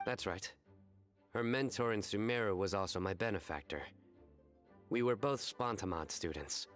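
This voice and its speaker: A man playing a dry, serious young scholar in a video game, speaking in a measured, formal deadpan. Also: lowish voice, faint music behind, close to an everyday voice. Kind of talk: scripted video game dialogue.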